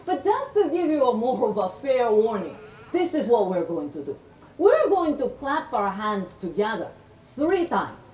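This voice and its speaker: A middle-aged woman from Japan performs folk tales for a room of children. Her voice is high and swoops with bright, playful energy. She speaks slowly and clearly and coaxes the audience to repeat words. The microphone is set well back, so her voice carries a roomy echo and faint background noise. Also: very animated, recorded from a distance. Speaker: storyteller. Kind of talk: interactive storytelling for children.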